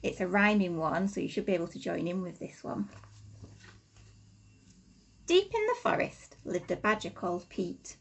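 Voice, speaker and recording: A woman, an infant school teacher, reading a rhyming storybook expressively to children with deliberate, sing-song emphasis. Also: fairly low pitch, big pitch swings, vocal fry, husky.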